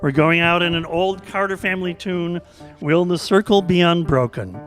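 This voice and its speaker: A man's voice: high-pitched, normal speed, a bit bright, soft background music. A man hosting a radio theater show, giving casual, jokey thanks to performers and audience.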